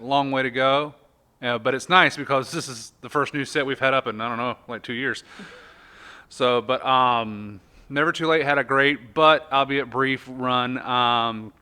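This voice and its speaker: A man's voice: mid-range voice, sharp tone, clear, resonant tone. An American man hosting a podcast in a conversational style. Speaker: podcast host.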